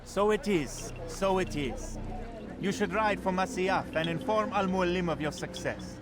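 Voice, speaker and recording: An actor playing a kindly middle-aged keeper who reassures a troubled friend with fatherly warmth. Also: high voice, a little slow. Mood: reassuring. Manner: warm, fatherly, gently reassuring. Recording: game audio with loud music.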